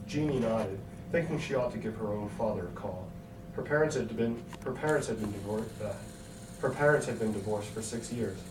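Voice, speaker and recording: Male story narrator, scripted read, lowish pitch, distant mic in a reverberant, noisy room. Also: a little fast. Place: hotel room.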